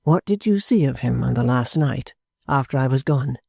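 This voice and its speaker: A man reading an audiobook, voicing an earnest, formal gentleman who speaks in a measured, reassuring way. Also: medium pitch, expressive, gravelly, slightly rough, no room sound, studio quality. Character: earnest gentleman, calm, persuasive. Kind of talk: audiobook character voice.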